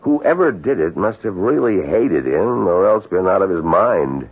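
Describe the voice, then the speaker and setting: An actor in a 1970s radio drama playing a grim, matter-of-fact state police sergeant, in muffled broadcast sound. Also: male voice, low voice, very animated, a little slow, husky, full character voice. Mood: grave, blunt.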